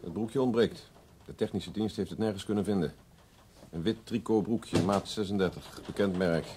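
An actor playing a calm, factual police investigator with a husky voice in a radio drama. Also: male voice, lowish voice, normal speed, noisy background, lightly acted. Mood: matter-of-fact.

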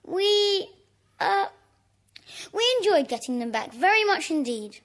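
A woman's voice: extremely high pitch, sing-song, unhurried, full character voice. A woman playing a polite, earnest young British boy in a children's audio drama. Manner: polite, eager.

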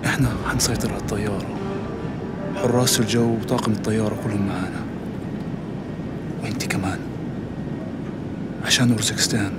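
A lowish, very rapid man's voice over loud music. An actor plays a controlled, insistent hijacker quietly threatening a passenger in a military game. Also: fairly even intonation, natural voice. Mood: controlled threat.

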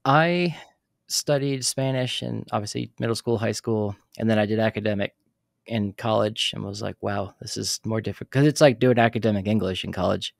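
A young man hosting a podcast from a small dark room on a studio mic, chatty and given to telling his own stories while asking questions. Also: on the low side, normal speed, gravelly, no echo. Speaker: podcast host. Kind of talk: casual interview chat. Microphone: studio mic on arm, headphones.

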